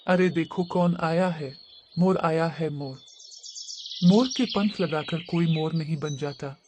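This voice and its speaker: A blunt, scolding bird from a cartoon fable , played by a man, speaking emphatically. The voice sits fairly high for a male, over clear background noise. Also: slow pace, darkish tone. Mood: mocking, rejecting. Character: blunt, scolding male birds, crows and peacocks. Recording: clearly noisy cartoon audio track.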